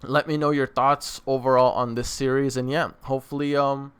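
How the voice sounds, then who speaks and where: Man, medium pitch, clean resonant voice, casual video host, rambling, self-correcting, speaking to viewers. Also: little pitch movement, moderate pace, a bit bright, smooth. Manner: rambling, repeats and rephrases himself. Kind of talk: casual review chat to camera. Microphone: microphone on a stand.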